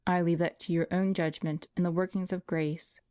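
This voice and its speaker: A woman voicing a grave, kindly elderly male priest who gives measured advice. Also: fairly low pitch, flat delivery, mellow, clear, resonant tone, no room sound, lightly acted. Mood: solemn. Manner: measured, solemn.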